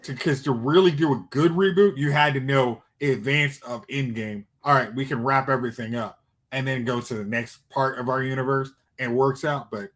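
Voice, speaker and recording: A man's voice: mid-range voice, normal speed, fairly bright tone. A man co-hosting a talk stream in casual chat, thinking aloud and often restarting his sentences.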